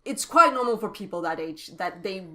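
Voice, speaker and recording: Danish woman, non-native English, bright chatty co-host, laughs a lot. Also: medium pitch, animated, normal speed. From Denmark. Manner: chatty, laughs often, explains at length. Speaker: podcast co-host. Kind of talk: casual chat.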